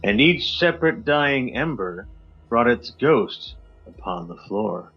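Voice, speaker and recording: A playful man joins a podcast remotely and reads poetry slowly and with drama. His pitch swings widely, sinking low at the ends of lines. His line is dull and lo-fi, with faint music underneath. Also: sing-song, husky. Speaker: podcast co-host. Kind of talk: casual chat over a video call and dramatic poem reading. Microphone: remote call.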